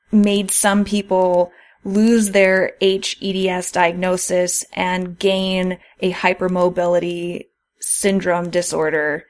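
A woman hosting a podcast who reads prepared explanations with care and sometimes laughs at her own slips. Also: fairly low pitch. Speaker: podcast host.

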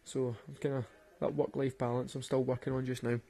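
A man's voice: medium pitch, little pitch movement, a little fast, gravelly, dark tone, soft background music. A man with a Glaswegian Scottish accent, rambling and swearing through casual stories and laughing at his own jokes. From Glasgow, Scotland. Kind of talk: casual storytelling monologue.

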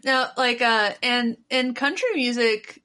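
A woman chatting casually and informally, with animated, mocking asides. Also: a little high, slow pace, clean tone. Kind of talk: casual chat.

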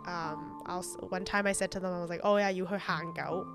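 A woman whose first language is Chinese, co-hosting a casual podcast chat; chatty and quick to laugh. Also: on the low side, steady tone, creaky, over music. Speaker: podcast co-host.

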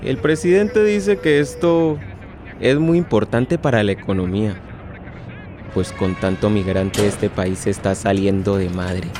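A man's voice: fairly low pitch, measured pace, noisy background, natural voice. A man playing a weary detention guard in radio drama dialogue. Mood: probing.

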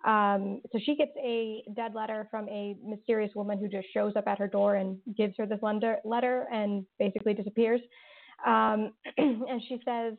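A woman's voice: mid-range voice, fairly even intonation, moderate pace. A woman, a horror writer and interview guest, answering thoughtfully with lots of fillers and restarts. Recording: tinny phone-like line.

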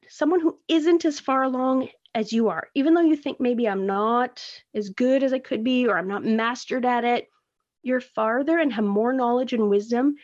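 A woman's voice: sits high, darkish tone, dull audio. A Canadian woman hosting her own podcast, rambling in a chatty, self-deprecating way. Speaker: podcast host. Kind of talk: casual solo podcast monologue. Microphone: phone recording.

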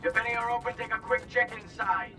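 Distant, barking soldier. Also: male voice, extremely high pitch, steady tone, quick pace. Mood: commanding. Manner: barking, curt. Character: brusque, commanding male Imperial soldier. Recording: distant voice over music.

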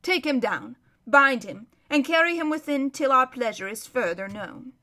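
A woman voicing a stern, gruff old king in a storybook, stately and archaic in manner. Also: high voice, expressive, normal speed, bright, forceful tone. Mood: stern, regal. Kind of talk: storybook character voice.

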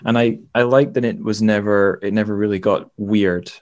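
A man's voice: lowish voice, steady tone, normal speed, darkish tone. A man hosting a podcast in a casual, rambling literary discussion, often correcting himself as he feels his way to a point. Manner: tentative, rambling, self-correcting. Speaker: podcast host, interviewer.

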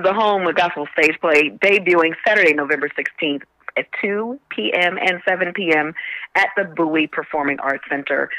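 Male guest announcer, very bright and a bit high, promotional read, dry acoustic. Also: no room sound, poor audio. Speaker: guest, stage play cast member.

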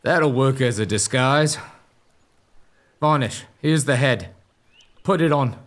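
A scheming, confident young suitor acted by a man in a comic gothic audio drama. He speaks in a middling pitch, smooth-talking and brisk as he gives orders. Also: fairly bright tone. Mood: sly, commanding.

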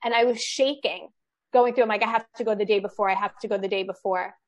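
A woman's voice: medium pitch, fairly even intonation, fast talker, darkish tone. A woman talking casually on a podcast, rambling through her story with frequent restarts. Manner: rambling, restarts often, self-deprecating. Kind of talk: casual chat.

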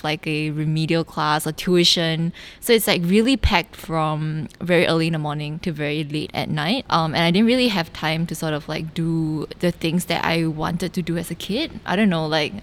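A woman, talking candidly in a casual podcast chat and laughing often. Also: low-pitched. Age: mid 20s. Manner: candid and laughing, with many restarts and "like". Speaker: podcast co-host.

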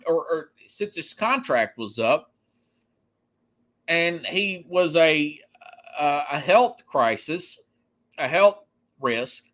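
A man with a fairly high, very bright and forceful voice delivers off-the-cuff sports opinion as a podcast host. He speaks emphatically, stopping and restarting and repeating himself to drive a point home. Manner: halting, repetitive, emphatic. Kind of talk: unscripted opinionated sports commentary.

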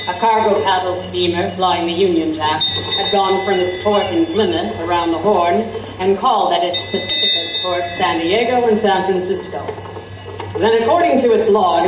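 A woman playing an intrepid, wry newspaper reporter, narrating an audio drama in a measured, formal way. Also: on the low side, noisy background, natural voice. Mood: grave narration. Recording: roomy, distant voice over music.

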